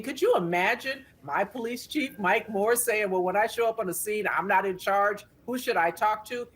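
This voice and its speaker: A woman's voice: mid-range voice, breathy edge. A retired police sergeant, a woman, speaking bluntly and indignantly as a guest in a news interview.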